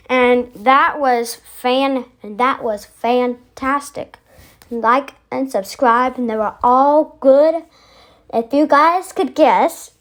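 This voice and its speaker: A video host giving casual vlog commentary, rambling and thinking aloud. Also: sits high.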